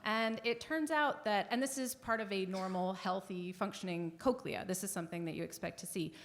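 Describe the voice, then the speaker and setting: A woman explains how hearing works to a public audience from an auditorium stage, her pitch ordinary. She talks in clear, patient steps with some fillers. Her tone stays that of a panel talk. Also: a little fast, a little hiss or hum.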